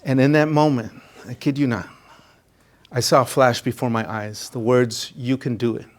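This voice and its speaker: A man's voice: medium pitch, steady tone, moderate pace. A middle-aged man from Ecuador whose first language is Spanish, a college counselor telling his own story in a presentation, earnest, with many fillers and restarts.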